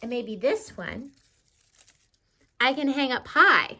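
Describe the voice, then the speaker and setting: A woman's voice: sits high, very animated, slow pace, pushed and bright. A woman teacher giving a casual how-to lesson for children at home, explaining simply and often checking in with questions. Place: indoors at home.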